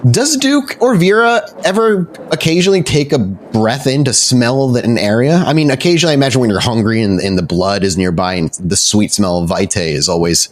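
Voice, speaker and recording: A man's voice: mid-range voice, expressive, fairly quick, hardly any pauses, slight background noise. A man narrating a tabletop roleplaying game descriptively as game master.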